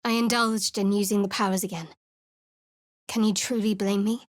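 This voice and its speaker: A woman's voice: medium pitch, steady tone, moderate pace, no room sound, natural voice. A woman voicing a guarded, sardonic young woman cleric in a studio game, speaking in a dry, curt, secretive way. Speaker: game character.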